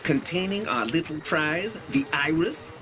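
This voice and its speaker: An actor playing a brash, cheeky mission handler in a video game, with slangy, mocking, upbeat delivery. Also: male voice, on the high side, animated, a little slow, heavy background noise. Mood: brisk, cheeky. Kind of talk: video game cutscene acting. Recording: loud music and effects behind.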